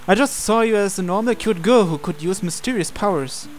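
A man's voice: high-pitched, lively intonation, fairly quick. A man reading visual novel dialogue and narration aloud as the male protagonist, with game music behind him. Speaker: gameplay reader voicing the male protagonist. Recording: music playing under the voice.

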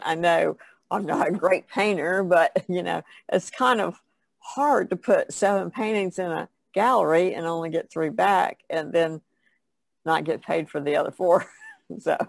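A woman's voice: on the low side, unhurried, vocal fry, no room sound. A woman chatting casually as a podcast guest, in a plainspoken way. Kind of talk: casual chat.

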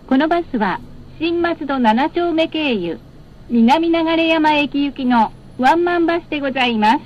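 A woman bus announcer reading scripted stop announcements in a formal, polite, carefully enunciated way over cabin speakers. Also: high-pitched. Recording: muffled, with clear vehicle noise. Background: vehicle noise.

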